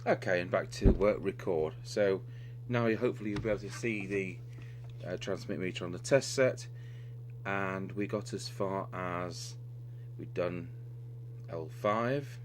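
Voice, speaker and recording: A man's voice: low-pitched, unhurried. A man giving an unscripted, hands-on technical demonstration, talking step by step and somewhat hesitantly as he works on a radio repair. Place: indoor radio repair workbench. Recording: clearly noisy recording with electrical hum.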